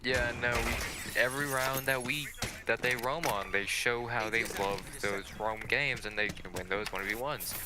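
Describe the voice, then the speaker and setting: A man giving measured, explanatory analysis as a colour commentator on a live video game match. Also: medium pitch. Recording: clearly noisy signal with clicks.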